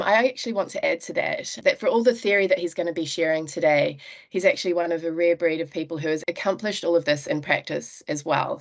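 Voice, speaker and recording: A woman introducing a speaker as host of a webinar, in a measured, formal way, on a video call. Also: on the low side. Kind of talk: scripted introduction.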